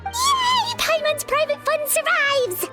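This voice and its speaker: A woman voicing a tiny, childlike fairy companion in a video game, excitable, cheeky and exclamatory. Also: very high-pitched, takes it slowly, exaggerated voice. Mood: gleeful. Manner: exclamatory, refers to herself in third person. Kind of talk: animated video game voice acting. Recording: music behind the voice.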